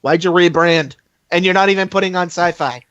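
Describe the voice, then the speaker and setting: A podcast co-host chatting casually in an animated, exclamatory way. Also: medium pitch, fairly quick, no echo, lo-fi sound. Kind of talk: casual chat.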